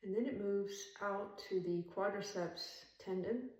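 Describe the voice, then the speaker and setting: A woman leading a guided meditation as an instructor, speaking calmly and soothingly in measured phrases with long pauses. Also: mid-range voice. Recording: roomy echo, distant from mic.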